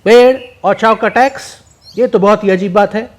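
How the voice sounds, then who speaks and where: A man's voice: sits high, steady tone, normal speed, pitched above the performer's own voice, natural voice. A man voicing a shrewd, confident old village uncle with an assured, admonishing manner.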